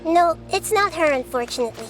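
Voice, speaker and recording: A tiny girl deity with a very high, lively voice. She is wise and composed for her size, with childlike wonder, in video game style over clear music. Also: a little fast. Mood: calm, explanatory. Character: small young girl deity, calm and wise, sometimes wide-eyed. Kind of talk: English video game voice acting. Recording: music under the voice.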